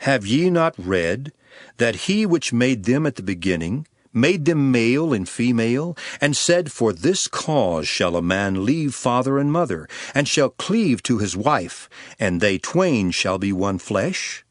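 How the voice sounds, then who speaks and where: A man playing a grave, earnest male teacher in a dramatized scripture reading, with solemn, emphatic, measured oratory. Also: medium pitch, very animated, no echo, clean studio sound. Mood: firm teaching.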